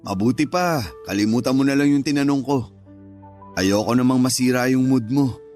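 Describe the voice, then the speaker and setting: An actor playing a firm but considerate older brother in a radio drama. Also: male voice, medium pitch, normal speed, a little mellow, soft background music, natural voice. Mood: gentle, conciliatory. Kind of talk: Tagalog radio drama acting.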